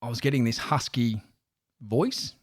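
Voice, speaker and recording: A man's voice: mid-range voice, a little slow. An Australian man in his forties from Newcastle, a stadium announcer talking as a podcast guest in a friendly, rambling way with many restarts. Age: 40s. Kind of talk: casual podcast interview.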